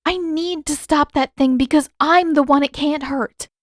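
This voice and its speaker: A woman reader puts on a feisty teenage girl from a fantasy audiobook. Her voice sits high and comes across emphatic and stubborn, with an exasperated edge. The sound is dry. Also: fairly even intonation, moderate pace, dry recording, lightly acted. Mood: insistent.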